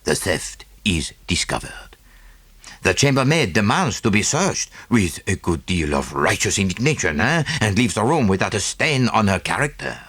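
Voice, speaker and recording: A man's voice: fairly low pitch, big pitch swings, normal speed, a bit bright, breathy edge, no echo, exaggerated voice. A man voicing a small, self-satisfied Belgian detective with a French-speaking Belgian accent and a didactic, theatrical manner in an audiobook. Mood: relishing explanation. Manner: didactic, punctuated with "eh?" and French phrases.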